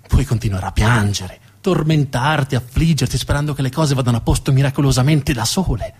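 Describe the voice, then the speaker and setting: A man's voice: mid-range voice, fairly quick, a little mellow, husky. A man playing an arrogant, cynical businessman scarred by war, in a dramatic, commanding stage monologue. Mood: grim, defiant.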